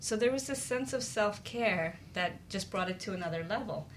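A woman's voice: medium pitch, expressive, normal speed, a little hiss or hum. A woman co-hosting a talk show, sharing personal experience in an earnest, confiding, conversational way.

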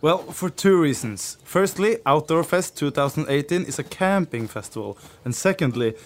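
A man playing a sensible but excitable band member in an amateur radio comedy play. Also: fairly high pitch, normal speed. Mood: chiding, sensible.

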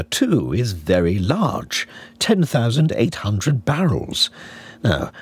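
A man reading a classic novel as an audiobook narrator, in a measured, expressive, mock-scholarly manner. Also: on the low side, slightly rough. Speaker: audiobook reader.

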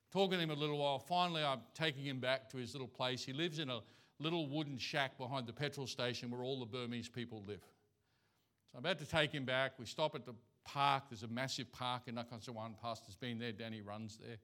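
Bright-voiced Australian missionary preacher. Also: male voice, medium pitch. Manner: conversational, many fillers and restarts. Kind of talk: storytelling sermon.

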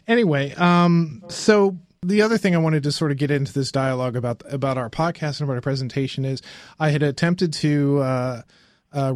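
A man hosting a podcast in casual conversation, halting and often correcting himself as he rambles along. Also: mid-range voice, darkish tone, no echo. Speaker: podcast host.

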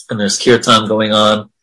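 Guy leading a casual spiritual class, bright forceful voice on the low side, encouraging. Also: rough recording. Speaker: religious class leader. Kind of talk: informal spiritual class discussion.

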